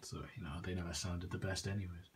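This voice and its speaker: A man's voice: low-pitched, level tone, fast. A man giving a casual show-and-tell in an informal, understated way, recorded on a handheld device in a small room at home. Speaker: antique phonograph collector. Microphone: handheld phone or camera, speaker off screen. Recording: noisy handheld recording with clicks.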